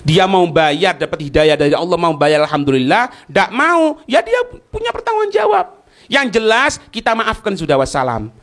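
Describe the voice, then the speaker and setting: Male religious teacher, Javanese accent, fairly high voice; informal, instructive Q&A delivery with rhetorical questions. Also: wildly swooping pitch, moderate pace, a bit bright. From East Java, Indonesia. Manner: colloquial, didactic, asks rhetorical questions and checks understanding. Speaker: Islamic teacher answering listeners' questions. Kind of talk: religious lecture with question and answer.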